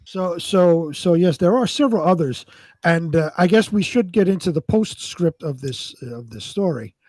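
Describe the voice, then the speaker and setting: Guy with a highish voice, co-host telling a story, bit halting, super dry close sound. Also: lively intonation, darkish tone, no room sound. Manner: halting, repeats words when ad-libbing. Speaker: co-host and narrator of a live show. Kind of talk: amateur scripted narration and casual chat.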